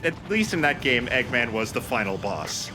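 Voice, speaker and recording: Wry, animated man joking over game music. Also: medium pitch, normal speed. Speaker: let's play commentator. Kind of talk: casual joking game commentary. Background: loud video game music.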